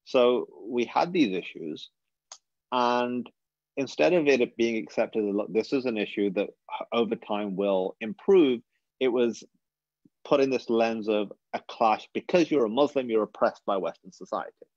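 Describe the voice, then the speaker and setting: A man's voice: medium pitch, expressive, unhurried, darkish tone, no room sound, dull audio. A British man in his thirties, speaking earnestly and reflectively as a guest speaker on a webinar, often restarting his sentences. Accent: British English. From England. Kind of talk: webinar talk.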